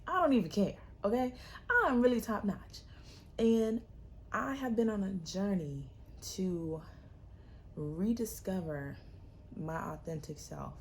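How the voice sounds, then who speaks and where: Sassy low gravelly woman podcaster. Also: a little hiss or hum. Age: young adult. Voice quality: loud, projecting voice. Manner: animated, sassy, emphatic repetition. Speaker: podcast host. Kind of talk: casual solo podcast talk.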